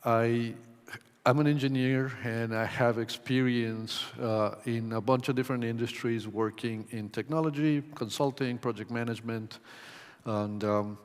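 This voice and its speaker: A man's voice: medium pitch, steady tone, clear, resonant tone. Ecuador-raised man presenting in a hall. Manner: measured, with frequent ums and uhs. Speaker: conference presenter. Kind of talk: conference talk. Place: conference hall. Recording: long hall reverb.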